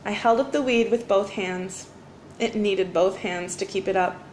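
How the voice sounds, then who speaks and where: A woman teacher reading a children's storybook aloud with expressive, deliberate phrasing. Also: medium pitch. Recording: roomy echo, faint background noise.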